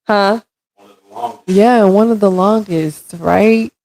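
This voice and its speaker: A congested woman in her thirties with a raspy, gravelly throat hosts a relaxed livestream, talking straight to her viewers. Her voice sits low and mellow, and she takes her time. The sound is dry and close. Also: slow, no room sound, rough recording. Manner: chatty, addresses viewers directly, reads comments aloud. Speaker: stream host. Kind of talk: casual livestream chat.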